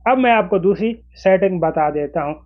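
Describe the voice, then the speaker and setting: Male tutorial presenter, forties, high voice, instructional step-by-step delivery, slightly dull lo-fi recording. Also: lively intonation, moderate pace. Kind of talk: explanatory phone tutorial to camera. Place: small indoor room with desk.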